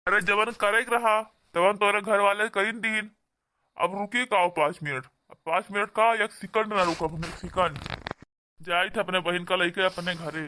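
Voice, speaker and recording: A man's voice: high voice, fairly even intonation, normal speed, bright, forceful tone. A man with an Awadhi accent voicing an indignant, protective brother-in-law who scolds and argues in a comedy cartoon. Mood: angry, distrustful. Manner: scolding, argumentative. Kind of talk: amateur comedy cartoon dialogue.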